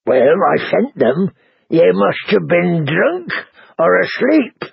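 A man voicing a curt gruff outlaw. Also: high-pitched, sing-song, slow pace, no echo, dull audio, voice pushed up, heavily acted.

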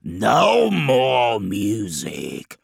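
A man voicing a huge, gloomy, irritable demon lord in a fantasy audiobook, with a gravelly, booming, grumbling delivery. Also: wildly swooping pitch, extremely slow, no room sound, clean studio sound, exaggerated voice. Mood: gloomy, irritated. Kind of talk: dramatic fantasy audiobook character voice.

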